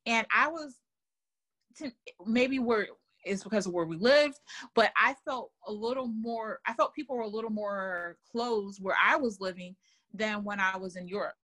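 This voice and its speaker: A woman's voice: mid-range voice, normal speed, bright, forceful tone. A woman who grew up in Germany, speaking as an author and guest in a podcast interview, earnest and often repeating words as she thinks.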